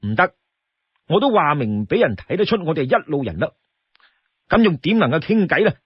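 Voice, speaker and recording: A man's voice: fairly high pitch, very animated, a little fast, breathy edge, no echo, muffled recording, exaggerated voice. A man voicing a shrewd, firm young outlaw in a story, instructing his companion insistently. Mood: insistent refusal.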